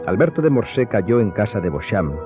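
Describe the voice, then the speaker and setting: A man's voice: lowish voice, sing-song, fairly quick. A man giving scripted dramatic narration as the narrator of a radio drama. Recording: thin phone-like sound, music behind.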